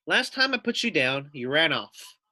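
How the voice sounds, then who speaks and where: A man's voice: fairly high pitch, big pitch swings, moderate pace, pushed and bright, no room sound, heavily acted. A man voicing a hungry, gullible wolf who grumbles and complains in a children's storybook. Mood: suspicious.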